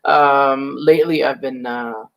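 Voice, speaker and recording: Gravelly-voiced easygoing man in his thirties. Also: mid-range voice, fairly even intonation, unhurried, a bit bright. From Lebanon. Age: 30s. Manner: easygoing, swears casually. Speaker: guest, snake breeder. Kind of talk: casual chat.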